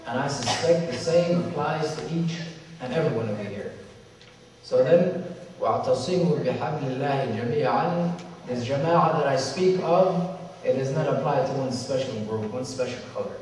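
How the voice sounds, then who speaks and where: A man's voice: medium pitch, darkish tone, recorded from a distance, slight background noise. Somali man lecturing in an echoey hall. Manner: measured, repetitive, with drawn-out emphasis and Arabic recitations. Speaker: symposium speaker. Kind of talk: religious lecture to an audience. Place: large hall with audience.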